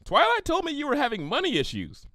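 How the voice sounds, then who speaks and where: A man voicing a bubbly, silly young female cartoon pony, chirpy and excitable, in a story reading. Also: very high voice, quick pace, no echo, studio quality, voice pushed up, heavily acted. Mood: earnest, soothing.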